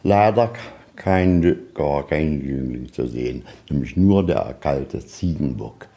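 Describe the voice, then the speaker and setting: A man with a very deep, mellow voice gives an informal guided tour. He explains in a conversational, slightly rambling way, as a museum guide talking to visitors. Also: lively intonation, takes it slowly.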